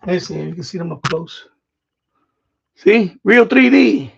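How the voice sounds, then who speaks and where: A man with a creaky, gravelly edge rambles through an informal live stream from a room at home. His talk is slangy and loose, half to himself. The sound is dull and lo-fi, picked up from a distance. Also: high voice, expressive, moderate pace, a little hiss or hum. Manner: informal, slangy, swearing, talking to himself while handling objects. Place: room at home being set up as a radio shack.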